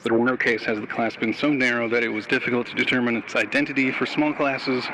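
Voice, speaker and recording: A man's voice: medium pitch, flat delivery, quick pace, close to an everyday voice. A man playing a grumbling demoted researcher, reading an official audio log in a dry manner with irritable asides. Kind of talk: scripted in-character document reading. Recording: noisy outdoors, later echoey bathroom.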